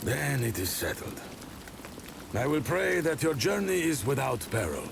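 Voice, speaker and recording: A man's voice: mid-range voice, sing-song, a little slow. A man playing a formal, courteous medieval city ruler in a video game cutscene. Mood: gracious, formal. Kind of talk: dramatic game cutscene acting. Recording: rain and clear background noise.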